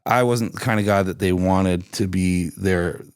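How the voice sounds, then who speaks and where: A raspy-voiced man from Canada, chatting loosely as an interview guest with lots of restarts and fillers. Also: deep voice, steady tone, a little slow, no room sound, clean studio sound. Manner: rambling, many restarts and fillers. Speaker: singer-songwriter guest. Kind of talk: casual interview chat.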